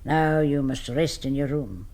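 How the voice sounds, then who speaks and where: A woman's voice: very deep voice, measured pace, mellow. An actress playing a stern Scottish governess in a radio drama, prim and darkly matter-of-fact as she serves her vampire household. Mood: grimly matter-of-fact. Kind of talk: 1970s radio drama acting.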